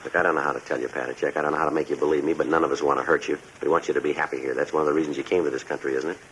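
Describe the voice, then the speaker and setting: An actor playing a calm, reasoning man who talks with clipped, matter-of-fact persuasion in a 1950s radio drama. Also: very deep voice, racing. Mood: reassuring, earnest. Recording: dull, noisy 1950s radio recording.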